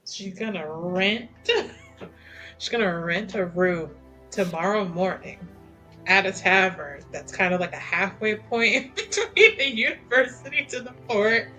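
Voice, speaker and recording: Woman with a bright forceful voice narrating her rpg character, casual and sweary, music behind. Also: medium pitch, measured pace, low-quality recording. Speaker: tabletop roleplaying player. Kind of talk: casual improvised tabletop game chat.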